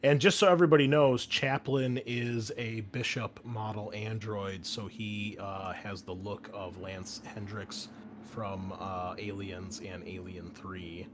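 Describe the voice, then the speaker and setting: A man's voice: fairly low pitch, steady tone, slow. A man hosting a tabletop game as game master, chatting casually as he introduces the session. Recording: clear background noise.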